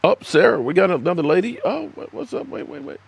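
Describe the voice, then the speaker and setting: A man's voice: sits high, big pitch swings, fast talker, creaky. A man in his fifties hosting a live sports stream, rambling, swearing and laughing as he reads viewers' comments from his desk. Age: 50s. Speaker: sports channel host. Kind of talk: live-stream sports talk, reading chat.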